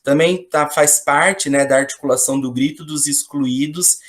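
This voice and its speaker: A man's voice: on the high side, moderate pace, fairly bright tone. A Brazilian man hosting an online talk, introducing guests in an informal, chatty way.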